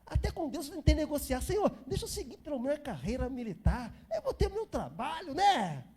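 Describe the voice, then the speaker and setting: A man's voice: very high-pitched, big pitch swings, fairly quick, a little mellow. A Brazilian man in his fifties preaching an informal sermon as a pastor, repeating phrases for emphasis and chatting to the congregation. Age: 50s.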